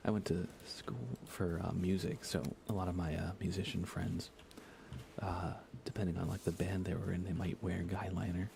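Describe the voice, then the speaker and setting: A man with a very low, fry-laden voice chats quietly on an ASMR gaming livestream. He keeps things hushed, often slipping toward a whisper, and wanders through his thoughts unhurriedly. Steady noise sits clearly behind him. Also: moderate pace. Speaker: live streamer. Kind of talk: soft-spoken ASMR gaming livestream chat.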